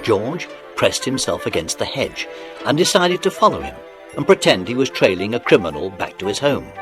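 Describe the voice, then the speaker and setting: A man narrating a dramatised children's audiobook in an expressive, animated storytelling manner. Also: lowish voice, normal speed. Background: music.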